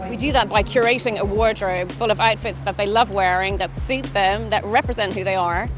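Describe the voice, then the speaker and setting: Irish female stylist, mid-pitched interview voice explaining her service, light music behind. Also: brisk. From Ireland. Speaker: personal stylist.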